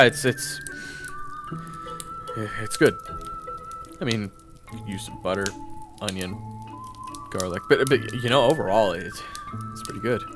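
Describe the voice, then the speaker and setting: A man's voice: medium pitch, voice pushed up. A man playing a stammering, flustered swordsman. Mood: sheepish. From Orleans, northwest Europe. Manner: stammering, nervous restarts. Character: married European swordsman, young adult man, flustered and wary. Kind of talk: audio roleplay acting. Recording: clear music behind voice.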